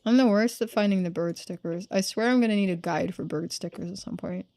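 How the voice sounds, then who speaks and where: A woman's voice: medium pitch, fairly quick, darkish tone. A woman streaming a game, giving casual commentary as she thinks aloud.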